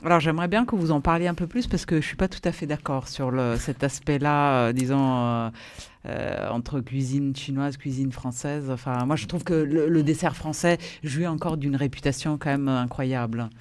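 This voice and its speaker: A man's voice: mid-range voice, normal speed. A man hosting a radio interview, asking the guest questions in a conversational way. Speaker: radio host.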